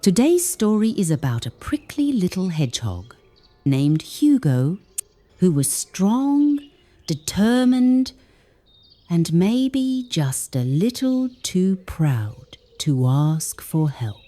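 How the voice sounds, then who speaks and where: A woman reading a children's story aloud in a gentle, expressive, carefully enunciated way. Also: fairly low pitch, takes it slowly, faint music behind. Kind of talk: scripted read-aloud children's story narration.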